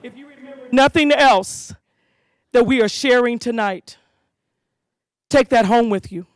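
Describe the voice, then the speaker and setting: A woman hosting a school community safety event, giving an animated speech to parents and leading call-and-response chants. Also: on the high side, measured pace, dry recording.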